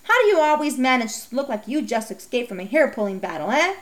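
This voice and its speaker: A woman voicing a strict, nagging mother who scolds her daughter with rapid questions. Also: on the high side, very animated, a bit bright, slight background noise. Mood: scolding.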